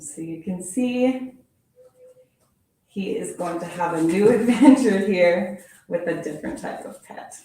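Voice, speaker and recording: Slow, expressive woman reading to kids. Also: medium pitch. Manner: expressive, playful storytelling with sound effects. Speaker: teacher reading aloud. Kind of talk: children's picture-book read-aloud. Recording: very echoey room from far off.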